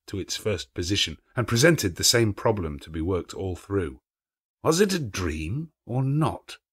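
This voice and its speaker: A man narrating a classic story in a theatrical, measured way with emphatic phrasing. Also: sits low, slightly rough, no room sound, studio quality. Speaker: audiobook narrator.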